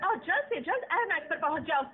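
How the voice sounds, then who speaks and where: High-pitched, urgent cartoon mother. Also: lively intonation, fast, narrow, tinny audio, very noisy. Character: urgent, commanding mother piloting an undersea explorer craft. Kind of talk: Hindi animated series dub acting. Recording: thin band-limited audio under loud music.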